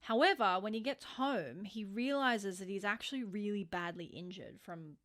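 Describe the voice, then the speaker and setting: A woman's voice: mid-range voice, moderate pace, a bit bright. A woman co-hosting a podcast, telling true-crime stories in an informal, conversational way with emphatic stresses. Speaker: podcast co-host.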